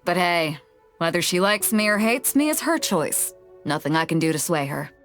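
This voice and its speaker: A woman's voice: lowish voice, expressive, normal speed, fairly bright tone, faint music behind. A woman playing a bold, big-hearted ship captain for a video game, with a confident, frank and laid-back manner. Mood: frank, easygoing. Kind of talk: video game character voice lines.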